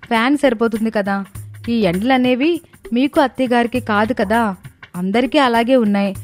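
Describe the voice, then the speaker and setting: A woman plays a young, thrifty daughter-in-law from a moral story. Her voice sits fairly high, and she explains and argues in an earnest, plucky yet respectful way. Faint music plays behind her. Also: darkish tone, lightly acted. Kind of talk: dramatized moral story dialogue.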